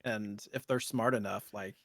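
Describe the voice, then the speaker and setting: A man's voice: lowish voice, fairly even intonation, moderate pace, dry recording. A man co-hosting a podcast, talking casually in loose, hesitant phrases padded with filler. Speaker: podcast co-host. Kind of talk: casual chat.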